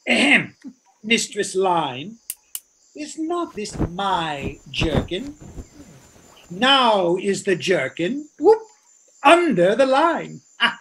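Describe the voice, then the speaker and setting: A man's voice: high voice, fairly bright tone, exaggerated voice, poor audio. Man playing a swooping, pompous drunken self-made king. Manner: pompous, blustering. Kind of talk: Shakespearean radio play acting.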